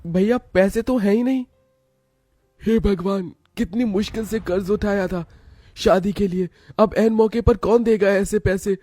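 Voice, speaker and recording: Brief: a man playing a selfless cartoon brother, earnest, fairly high voice. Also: fairly even intonation, unhurried, dark tone, dry recording, clean studio sound. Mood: distressed. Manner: earnest, emotional. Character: two poor devoted older brothers, selfless. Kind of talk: cartoon voice acting.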